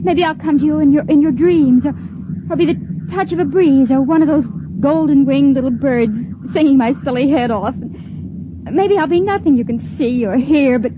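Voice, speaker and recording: An actress playing a gentle young woman stowaway in a 1950s radio drama, speaking softly and wistfully. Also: high voice, moderate pace, natural voice. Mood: tender. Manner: soft, wistful and tender. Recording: lo-fi vintage radio with loud hiss.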